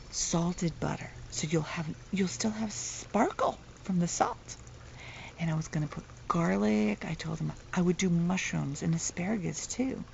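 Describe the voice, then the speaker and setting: A middle-aged woman with a soft voice, telling a playful, chatty vlog story outdoors and laughing at her own jokes. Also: fairly low pitch, creaky, a little hiss or hum. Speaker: vlogger. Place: outdoors, near the water. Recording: dull lo-fi outdoor recording.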